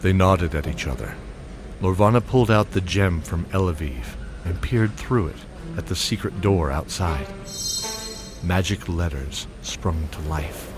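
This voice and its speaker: A man narrating a fantasy audio drama in a steady, descriptive way. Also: low voice, a little slow, music in the background.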